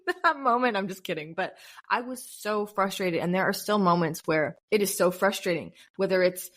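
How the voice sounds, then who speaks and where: A woman in her thirties from the United States, a podcast guest chatting casually and telling lively stories. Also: moderate pace. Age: 30s. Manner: animated storyteller, bursts into high-pitched acted quotes and laughs. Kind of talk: casual interview chat.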